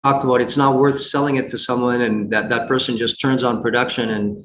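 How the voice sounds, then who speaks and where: A man giving unscripted investment commentary as a guest, speaking haltingly with frequent repeats and false starts. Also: medium pitch, level tone, fairly bright tone. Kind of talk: unscripted earnings call commentary. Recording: roomy echo, faint background noise.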